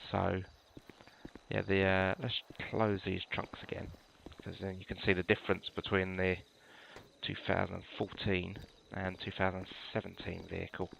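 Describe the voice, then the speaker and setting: Congested British man with a gravelly low voice. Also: normal speed, muffled recording, slight background noise. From United Kingdom. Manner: relaxed, rambling with many ums and restarts. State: congested from a cold. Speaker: gamer showing police cars. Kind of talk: casual gameplay walkthrough.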